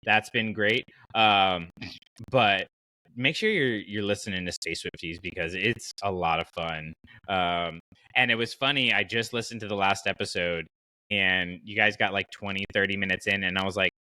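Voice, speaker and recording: A man's voice: deep voice, moderate pace, sharp tone, no room sound, low-quality recording. A man hosting a podcast in a casual, enthusiastic and conversational way. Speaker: podcast host.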